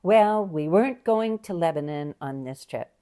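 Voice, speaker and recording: A woman's voice: lowish voice, expressive, normal speed, warm tone. An older woman co-hosting a travel ministry show, chatty and upbeat. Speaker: co-host. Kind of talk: casual co-hosting. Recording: faint music behind.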